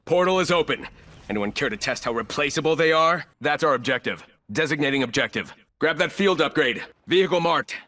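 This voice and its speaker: An actor playing a ruthless, arrogant corporate executive turned combat operator in a video game, speaking in clipped, commanding, coolly condescending lines. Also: male voice, on the high side, expressive, brisk, bright, forceful tone. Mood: cold, commanding. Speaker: playable military shooter operator.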